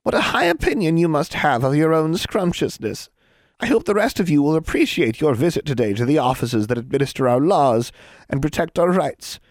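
A man's voice: fairly high pitch, no echo, studio quality, voice pushed up. A man voicing a cheerful, officious hag woman with a menacing sweetness, as a storybook character. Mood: mock amazement. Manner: indulgent, officious, crooning, mock-sweet.

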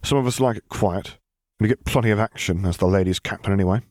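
Guy doing a dry sniping older general dad, deep voice, close dry sound, audiobook. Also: quick pace, a little mellow, dry recording, natural voice. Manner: dry, sardonic. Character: wry, sniping middle-aged general and father.

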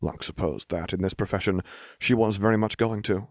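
A man's voice: on the low side, a little fast, darkish tone, dry recording, studio quality. A man reading a story aloud as scripted audiobook narration. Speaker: audiobook reader.

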